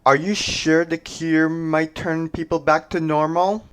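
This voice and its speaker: A man's voice: fairly high pitch, steady tone, unhurried, a bit bright, clean tone. A man playing a skeptical medical researcher in an amateur zombie outbreak audio drama. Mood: skeptical, concerned.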